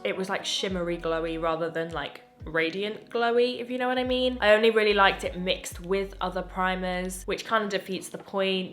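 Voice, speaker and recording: A woman's voice: on the low side, fairly bright tone. A young English woman chatting through makeup reviews at home, gushing and chatty, her voice a little strained. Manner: gushing, chatty, self-interrupting. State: voice slightly going. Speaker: beauty vlogger. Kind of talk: casual chatty product review.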